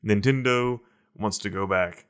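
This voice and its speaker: Guy with a lowish voice doing casual podcast banter, kinda excitable and slangy. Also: measured pace, clean tone. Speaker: podcast host.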